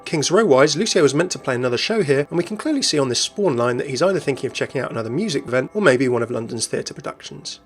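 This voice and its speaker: A man's voice: mid-range voice, expressive, quick pace, few pauses. A man narrating a gaming commentary voice-over. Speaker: video creator, narrator. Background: faint music.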